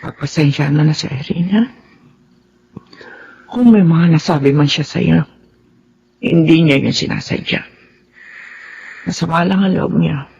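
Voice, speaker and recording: An actress playing a gentle, forbearing older Filipino mother-in-law in a radio drama, speaking in a measured, consoling way. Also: deep voice, gravelly, slightly rough, full character voice. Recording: dull recording under music.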